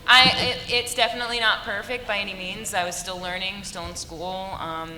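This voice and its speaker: A woman's voice: mid-range voice, bright, forceful tone. A woman writer speaking casually on a convention panel, rambling and laughing often. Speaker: writer on an animation team panel. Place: large convention hall. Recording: echoey hall, faint room noise.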